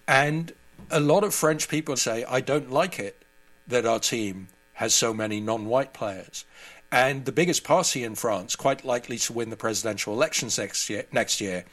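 A man's voice: mid-range voice, normal speed, fairly bright tone. A man speaking as an interview guest, giving conversational analysis and commentary.

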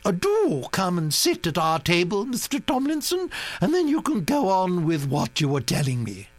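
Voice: medium pitch, huge pitch swings, moderate pace, dry recording, voice pushed up. A reader voicing an imperious elderly duchess who talks in a commanding, theatrical way, for an audiobook. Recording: dry recording with mains hum.

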